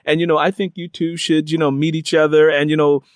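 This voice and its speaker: A man's voice: fairly high pitch, little pitch movement, brisk, no echo, clean studio sound. An American man hosting a conversational interview, putting questions in a wordy, circling way with repeated phrases. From United States.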